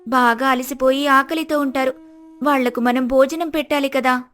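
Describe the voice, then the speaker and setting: Woman playing a high-voiced, caring village wife. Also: fairly even intonation, moderate pace, fairly bright tone. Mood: worried, caring. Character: kind, polite, caring poor village wife. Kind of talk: storybook dialogue acting.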